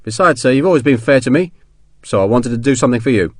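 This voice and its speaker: A man's voice: medium pitch, expressive, quick pace, no echo, clean studio sound, close to an everyday voice. A man voicing a deferential but stubborn army sergeant who talks colloquially, in an audiobook. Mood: earnest. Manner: colloquial, punctuated with deferential sirs. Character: rough-spoken army sergeant, deferential, stubborn, slyly innocent. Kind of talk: audiobook character dialogue.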